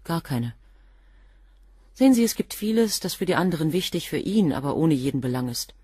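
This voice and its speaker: Cool loyal assistant getting grilled, low husky voice, curt answers, dry close sound. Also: female voice, sing-song, fairly quick, warm tone, dry recording, exaggerated voice. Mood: guarded. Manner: guarded, composed, curt answers. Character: cool, devoted female assistant to a professor. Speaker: witness under questioning. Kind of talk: radio drama acting.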